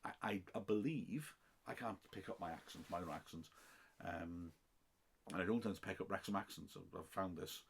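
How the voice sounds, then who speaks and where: A man's voice: low voice, normal speed, gravelly, slight background noise. A middle-aged man with a Welsh accent, a football commentator chatting casually and self-deprecatingly through listener questions on a podcast. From Wales. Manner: chatty and self-deprecating, with frequent ums and restarts.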